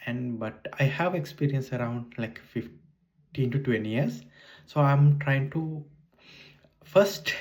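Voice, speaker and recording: A man in his forties with a Sri Lankan accent talks casually to his audience in unscripted English, his second language after Sinhala. His voice sits in an ordinary middle pitch, and he speaks hesitantly, pausing and restarting as he searches for words. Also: a little mellow, low-quality recording. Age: 40s. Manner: hesitant, halting non-native English with frequent restarts. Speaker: vlogger.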